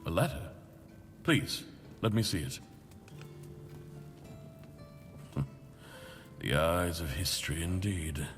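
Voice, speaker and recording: An actor playing a burdened, diplomatic male leader in a fantasy game, speaking in a measured, formal and solemn way. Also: deep voice, expressive, fairly bright tone, big-room sound, faint music behind, heavily acted. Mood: measured, grave. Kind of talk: fantasy video game dialogue.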